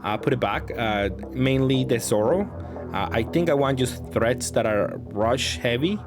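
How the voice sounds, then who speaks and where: Male gaming commentator, medium pitch, off-the-cuff and slightly hesitant, clear music bed behind the voice. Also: a little slow. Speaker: card game content creator and host. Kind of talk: casual unscripted gameplay commentary.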